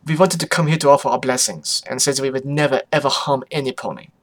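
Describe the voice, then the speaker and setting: A man's voice: mid-range voice, fast, fairly bright tone, natural voice. A man acts out a flamboyant vampire pony mother from a storybook reading. She speaks with a foreign accent. Her delivery is grand and emphatic, like a fashion celebrity holding court. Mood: apologetic, earnest.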